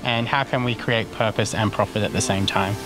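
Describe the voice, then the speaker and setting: A man's voice: fairly low pitch, steady tone, brisk. Brief: man, fluent explanation, music in background. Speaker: social enterprise leader. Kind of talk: interview explanation.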